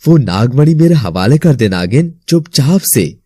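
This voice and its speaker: A man's voice: mid-range voice, big pitch swings, measured pace, mellow, husky, no room sound. A man voicing a menacing, scheming villain in a cartoon, with a commanding, threatening delivery. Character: menacing, scheming male villain sorcerer and his thug. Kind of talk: Hindi cartoon villain dialogue.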